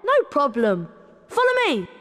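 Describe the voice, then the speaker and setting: An excitable young British boy in a video game. The high voice leaps around with eagerness and carries a long reverberant tail. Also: unhurried, background noise. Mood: excited.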